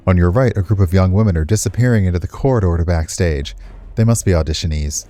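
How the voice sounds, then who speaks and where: A man casually commenting and reading aloud as he streams a visual novel, chatty and expressive. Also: deep voice, quick pace, faint music behind. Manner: chatty, expressive, reacts with asides to what is read. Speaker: streamer, let's player.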